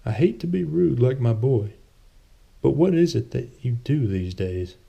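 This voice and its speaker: A man's voice: mid-range voice, animated, a little slow, vocal fry, mellow, slightly rough, pitched above the performer's own voice, heavily acted. A man voicing a pious, wealthy older man in a storybook reading, earnest and persuasive. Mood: genial.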